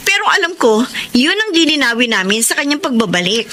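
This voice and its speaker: A worried young Filipino woman with a high voice in a radio drama. She sounds anxious and pleading on a noisy recording. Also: big pitch swings, fairly quick.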